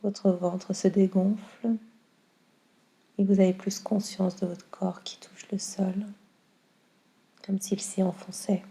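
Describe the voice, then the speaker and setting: A woman guiding a yoga nidra meditation in a calm, soothing, unhurried way with long pauses. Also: mid-range voice, creaky. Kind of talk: slow guided meditation.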